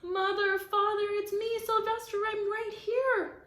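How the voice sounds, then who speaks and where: A woman's voice: very high voice, little pitch movement, unhurried, fairly bright tone, reverberant, voice pushed up. A woman voicing a young male donkey child in a storybook, desperate and pleading as he cries out. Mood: desperate, excited. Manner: crying out, pleading.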